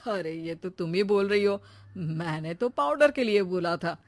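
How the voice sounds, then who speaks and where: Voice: mid-range voice, measured pace, natural voice. A performer voicing a teasing, sarcastic middle-aged husband and father with a dry, mocking manner.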